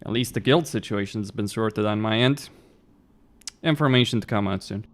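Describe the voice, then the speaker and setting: A man's voice: fairly low pitch, normal speed. A young man from Romania, streaming a game and chatting bluntly with viewers, laughing often and swearing freely. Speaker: gaming streamer. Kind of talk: casual live-stream chat, answering viewers.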